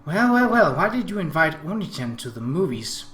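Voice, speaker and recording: A furious, possessive teenage little sister , played by a man reading a visual novel aloud, pushed up high and loud. The voice swings about and rings in a roomy space. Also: sing-song, moderate pace. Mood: indignant. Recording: roomy echo, faint background noise.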